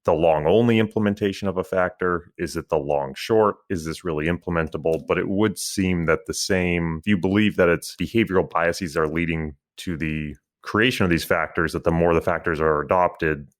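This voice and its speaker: Low-voiced man hosting a podcast. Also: moderate pace, few pauses. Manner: chatty, self-deprecating quant talk. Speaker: podcast host. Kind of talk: casual interview chat.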